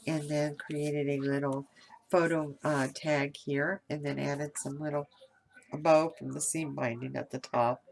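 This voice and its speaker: A woman's voice: deep voice, a little hiss or hum. A nasal woman from Kentucky with a head cold, chatting casually through a craft tutorial with lots of fillers and restarts. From Kentucky, USA. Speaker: craft video host.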